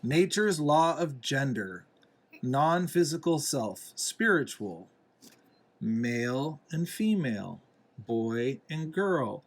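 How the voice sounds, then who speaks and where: Man, father reading storybook aloud, slow pace, deliberate, instructive, gentle explanations for a small child. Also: mid-range voice, sing-song. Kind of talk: read-aloud storybook narration with asides to a young child.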